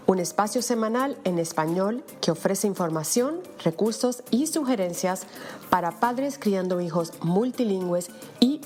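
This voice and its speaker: A woman's voice: medium pitch, a little mellow. A woman opens a parenting podcast in a mid-range voice, reading her welcome and then slipping into loose, friendly chat. She stumbles lightly over words and laughs it off. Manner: reads the intro, then chats loosely with laughs. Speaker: podcast host. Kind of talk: scripted introduction and casual chat.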